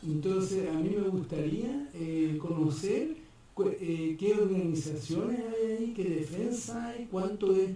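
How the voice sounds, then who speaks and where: A man speaks at a community forum, hesitant and full of fillers. His voice is dark and mellow and sits a little high for a man. He sounds distant, caught in a big echoing room. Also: animated, measured pace. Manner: hesitant, many fillers and restarts. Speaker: park defender, invited speaker. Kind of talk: public talk at a community forum. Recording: echoey hall, mic far off.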